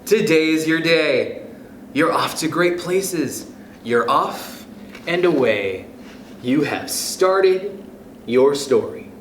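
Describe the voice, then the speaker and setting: A young man reads a children's picture book aloud in a slow, gentle way, his fairly high voice rising and falling in a lively sing-song. He chuckles softly and turns to the young listeners with friendly asides. The room is echoey, with a faint hum behind him. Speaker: youth club storyteller.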